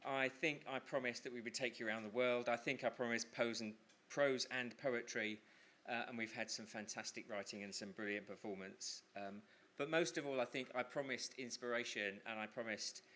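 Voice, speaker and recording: A man's voice: medium pitch, steady tone, normal speed, bright, forceful tone. A man hosting a live event on stage as compere, speaking in hesitant, rhetorically repeated phrases. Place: live storytelling event at a venue. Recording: live venue recording with background noise.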